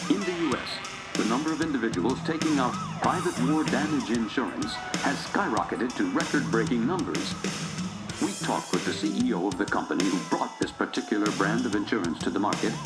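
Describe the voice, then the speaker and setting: An actor as a lively male news reporter. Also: mid-range voice, brisk, lightly acted. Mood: brisk, informative. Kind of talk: scripted news report in a game cutscene. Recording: loud music behind the voice.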